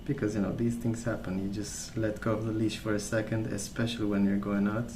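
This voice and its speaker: A man speaking softly in a calm, gentle, unhurried way, rambling in a casual ASMR storytelling style. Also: lowish voice. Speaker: ASMR video creator. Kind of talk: soft-spoken ASMR rambling. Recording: roomy sound with clear background noise.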